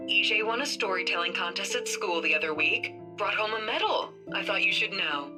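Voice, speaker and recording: A woman's voice: medium pitch, lively intonation, fairly quick, lightly acted. An actress playing a caring middle-aged mother in a visual novel game, with a tired lilt. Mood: fond, weary. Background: game music.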